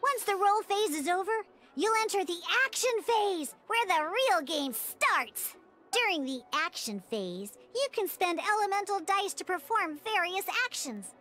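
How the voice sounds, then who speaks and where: Squeaky, very high-pitched childlike guide. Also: female voice, lively intonation, a little slow, pushed and bright, soft background music, heavily acted. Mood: cheerful, instructive. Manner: perky, encouraging, exclamatory. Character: small bubbly excitable childlike girl companion and guide. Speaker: game tutorial guide. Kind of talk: animated video game character tutorial dialogue.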